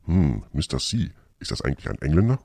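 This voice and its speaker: A man playing a laconic, slightly dim cartoon secret agent with a terse, deadpan manner. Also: a bass voice, a little fast, gravelly, no room sound, studio quality, heavily acted.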